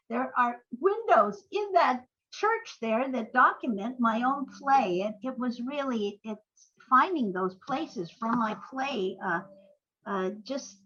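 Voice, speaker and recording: A woman's voice: on the high side, a bit bright, muffled recording. An older woman, a playwright guest on an interview podcast, telling anecdotes in a rambling, enthusiastic way.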